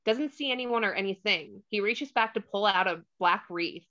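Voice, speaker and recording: A woman's voice: medium pitch, moderate pace, pushed and bright, muffled recording. A woman narrating the stage directions of a screenplay in a scripted read. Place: remote online table read.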